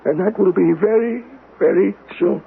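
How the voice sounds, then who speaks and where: An actor playing a stubborn, haunted old uncle, crusty, halting and dismissive yet fond, in a radio drama. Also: male voice, sits high, gravelly. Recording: tinny noisy old radio broadcast.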